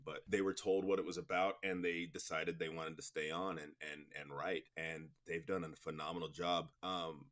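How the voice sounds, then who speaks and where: A man's voice: sits low, fairly bright tone. A man answering interview questions as a guest producer, in a rambling, explanatory, conversational way peppered with "you know". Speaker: interview guest, audio drama producer and director.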